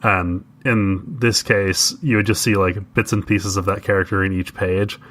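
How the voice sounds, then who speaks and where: A man's voice: low voice, steady tone, normal speed, a bit bright. A man co-hosting a podcast, explaining things in casual conversation. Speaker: podcast co-host.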